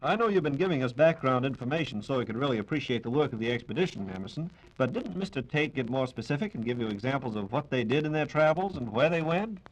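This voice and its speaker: A man's voice: mid-range voice, lively intonation, fast talker, hardly any pauses, low-quality recording. An American man hosting a scripted 1940s radio interview, prompting with inquisitive questions. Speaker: radio host asking questions. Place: radio studio.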